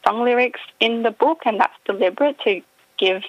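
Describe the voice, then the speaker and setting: A woman's voice: a little high, normal speed, dry recording, lo-fi sound. A woman author, answering interview questions thoughtfully, often hedging and trailing off. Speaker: author guest. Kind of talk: reflective radio interview answers.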